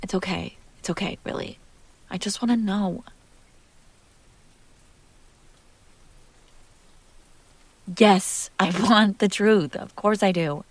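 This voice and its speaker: A woman's voice: mid-range voice, normal speed, dry recording, natural voice, poor audio. Woman acting a jealous, protective lover, close and dry. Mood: pleading, gentle. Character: jealous, possessive, fiercely protective young woman confessing love. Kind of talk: intimate roleplay audio drama. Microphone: close microphone, dry sound.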